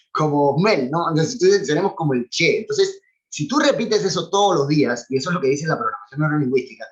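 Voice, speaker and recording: A man from Cuba with a Cuban accent, chatting on a video call, talkative and opinionated, often laughing as he talks. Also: a little high. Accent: Cuban Spanish. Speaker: former cultural animator. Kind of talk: casual chat on a video call. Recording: dull lo-fi video call audio.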